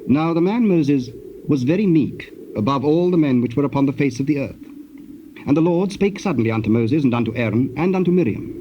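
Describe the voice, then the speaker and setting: A man narrating scripture from a script in a measured, formal and solemn manner. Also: fairly high pitch, expressive. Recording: dull noisy tape, birds behind.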